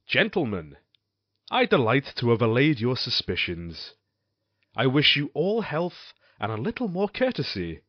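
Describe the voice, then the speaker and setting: An actor playing a guilt-ridden husband and murderer in a gothic audio drama, speaking formally and theatrically with an outward show of smug composure. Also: male voice, mid-range voice, dry recording, dull audio. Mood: nervous bravado. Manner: measured, theatrical, formal old-fashioned diction.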